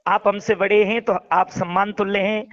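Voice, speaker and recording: A man's voice: high voice, flat delivery, normal speed, a bit bright. A man speaking earnestly and repetitively in a casual phone conversation, urging his point and calling the listener brother. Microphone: phone call. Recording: dull lo-fi recorded phone call.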